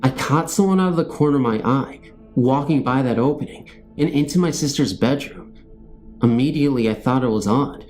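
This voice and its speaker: A man's voice: mid-range voice, fairly quick, darkish tone, soft background music. A man reading scary stories as a narrator, in a scripted storytelling style.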